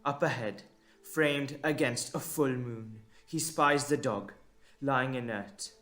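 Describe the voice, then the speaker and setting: Slow, suspenseful male audio drama narrator. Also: mid-range voice, fairly bright tone, faint music behind. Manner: measured, suspenseful, with deliberate pauses.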